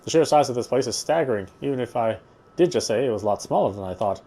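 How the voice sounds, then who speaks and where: Male let's player, medium pitch, reading visual novel narration with offhand commentary. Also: animated, fast, warm tone. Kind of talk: read-aloud narration with casual asides.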